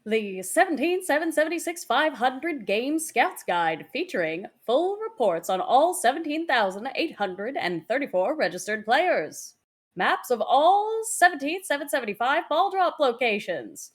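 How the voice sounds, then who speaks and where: A woman's voice: high-pitched, sing-song, unhurried. A woman narrator reading a scripted sports guide aloud as narration.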